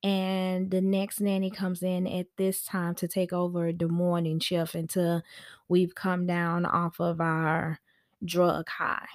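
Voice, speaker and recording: A woman telling a personal story on a podcast in a chatty, animated, slangy way, acting out conversations as she goes. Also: on the low side, takes it slowly, dry recording, clean studio sound. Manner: informal slangy speech. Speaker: podcast host and nanny.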